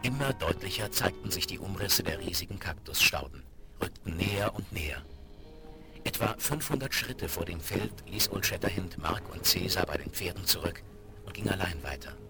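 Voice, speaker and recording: A man's voice: low-pitched, animated, moderate pace, creaky. A man narrating a Western radio play in a dramatic, scripted storytelling style. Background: music.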